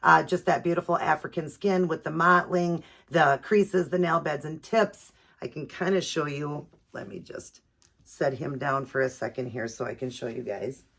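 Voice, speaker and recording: A middle-aged woman, hoarse and raspy from allergies, chatting casually as host of her own video channel with plenty of ums and you-knows. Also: low voice, steady tone, moderate pace, fairly bright tone. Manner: chatty with many fillers.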